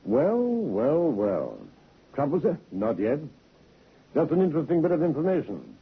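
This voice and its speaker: An actor playing a brisk, dry-witted Scotland Yard inspector in a 1950s radio drama, speaking in a husky voice. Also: male voice, a little high, full character voice. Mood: dry, commanding. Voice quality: husky, lively. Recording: muffled vintage radio broadcast.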